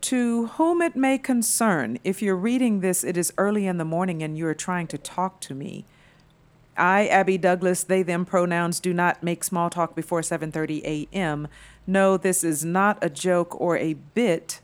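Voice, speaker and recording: An actress plays a small-town woman in an audio drama, talkative and patient with a gently wry edge. Her voice sits a little low and sounds natural and conversational. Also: moderate pace, natural voice. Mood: reading aloud, wry.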